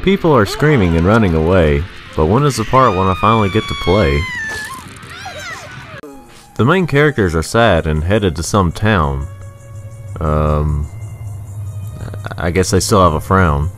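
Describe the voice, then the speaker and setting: A man's voice: low voice, wildly swooping pitch, measured pace, pauses often, soft background music. A man reading a scripted, rhyming video game review in a sarcastic, sing-song manner.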